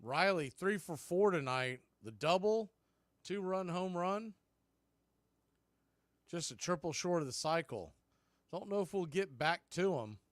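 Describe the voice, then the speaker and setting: A man's voice: high-pitched, expressive, measured pace, long pauses, no echo. A man doing radio baseball play-by-play as the announcer, speaking in short, clipped phrases.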